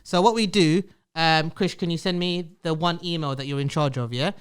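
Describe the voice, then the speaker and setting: A young man hosting a casual podcast interview, chatty and quick to agree. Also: fairly high pitch, clean tone. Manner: friendly, constant "yeah" backchannel. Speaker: podcast host.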